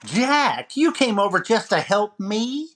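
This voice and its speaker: Radio variety host, played by a man, wisecracking and theatrical, very lively intonation. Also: sits high, takes it slowly, full character voice. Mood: incredulous. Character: wisecracking male comedian hosting a radio variety show. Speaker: radio show host and comedian. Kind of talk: 1940s radio comedy recreation.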